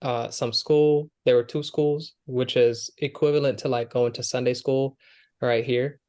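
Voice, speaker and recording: A man's voice: mid-range voice, fairly even intonation, a little slow, darkish tone. A young man from Kenya with a Somali family, answering interview questions in an earnest, conversational way, with many fillers and restarts. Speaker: guest, Air Force airman.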